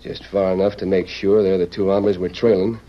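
A man's voice: sits low, little pitch movement, brisk, close to an everyday voice. A man playing a ruthless, gloating outlaw leader in an old-time radio western, with a bossy, sneering cowboy drawl. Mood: bossy, scheming. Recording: dull, noisy old radio recording.

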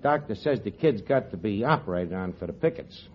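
An actor playing a wisecracking, scheming tavern manager who talks ungrammatically, in a 1940s radio comedy. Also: male voice, lowish voice, expressive, brisk, heavy background noise, natural voice. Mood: mock-sad. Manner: wisecracking, fake sincerity, ungrammatical. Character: fast-talking scheming tavern manager, middle-aged man. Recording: dull old radio broadcast, faint audience laughter.

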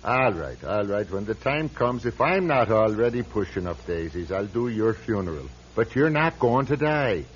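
An actor playing a kindly older priest in a radio drama, gently chiding and good-humoured. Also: male voice, on the low side, very animated, normal speed, hardly any pauses, slight background noise. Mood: calm, reassuring. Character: kindly, wry, older Irish parish priest. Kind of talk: old-time radio drama acting. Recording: muffled vintage radio recording.

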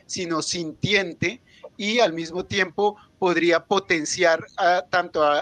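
High, bright-voiced Colombian man. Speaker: guest author and psychologist. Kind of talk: explanatory interview answer.

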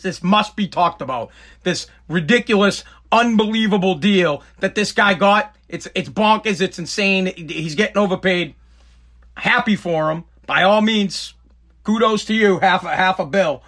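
A man rants on his own live podcast, blunt and profane, repeating himself as he goes. His voice sits high for a man. It has a bright, forceful edge. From Boston area, New England. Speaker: podcast host. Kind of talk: live-streamed podcast rant.

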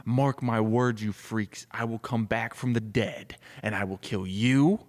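A man's voice: on the low side, steady tone, measured pace, natural voice. A man voicing an enraged human serial killer, ranting and threatening revenge. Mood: furious threat. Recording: reverberant room.

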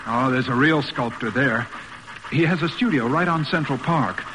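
An actor playing an earnest, respectful young American son in a polite, hesitant, boyish radio drama manner. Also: male voice, mid-range voice, moderate pace, close to an everyday voice. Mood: eager, hopeful. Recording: noisy old radio recording.

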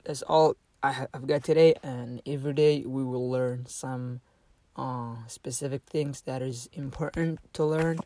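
A man teaching a casual online language lesson, halting with many fillers and restarts and repeating each phrase slowly for learners. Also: mid-range voice, fairly even intonation, warm tone, no room sound.

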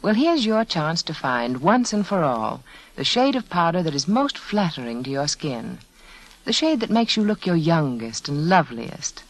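Female 1940s advertising spokeswoman, animated intonation, measured direct address, old radio recording. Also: deep voice, low-quality recording.

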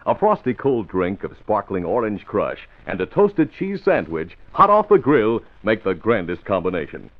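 A man with a deep, lively voice performs as a vintage radio announcer. He reads scripted copy in 1940s broadcast style, with faint music and a narrow, lo-fi sound. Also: normal speed, hardly any pauses. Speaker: radio drama narrator and announcer. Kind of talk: 1940s radio scripted narration and sponsor read. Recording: narrow lo-fi old radio recording.

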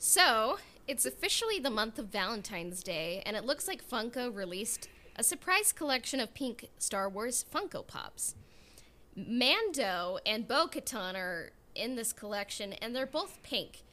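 Woman cohosting a podcast, bright higher voice, playful casual chat. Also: very animated, measured pace. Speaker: podcast co-host.

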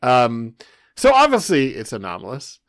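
A man with a Californian American accent chatting casually as a podcast co-host, halting and often correcting himself as he goes. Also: mid-range voice, huge pitch swings, fairly bright tone. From Southern California, USA.

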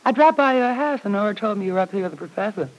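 A woman's voice: mid-range voice, lively intonation, very fast, slight background noise, lightly acted, poor audio. An actress playing a haughty, pushy young society woman with arch, mannered politeness in a 1940s radio soap opera. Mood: arch, calculating. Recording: old radio drama recording.

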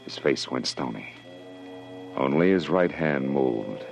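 A hardboiled detective from classic radio drama, wisecracking and cool under danger. His voice is low, his delivery slangy and commanding, and music plays in the old recording. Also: expressive, slow, gravelly, full character voice. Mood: grim narration. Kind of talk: classic radio drama acting, hardboiled first-person narration.

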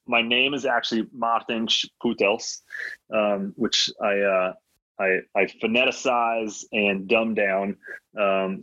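A man's voice: lowish voice, fairly bright tone, dry recording, muffled recording. A man from Michigan whose first language is Latvian, talking casually as an interview guest with many ums and restarts. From Michigan, USA. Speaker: guest, hunting brand co-founder.

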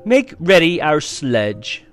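A man's voice: on the high side, very animated, slow pace, a bit bright, soft background music, pitched above the performer's own voice, full character voice. A man voicing a cruel, imperious witch queen with a harsh, commanding manner in a storybook reading.

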